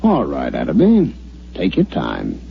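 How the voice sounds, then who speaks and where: An actor playing a cold, patronizing newspaper boss in a 1950s radio drama, speaking briskly and with authority. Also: male voice, extremely low pitch, wildly swooping pitch, vocal fry, exaggerated voice. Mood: coolly firm. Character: cold, condescending male newspaper publisher, the boss. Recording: muffled old radio recording with hiss.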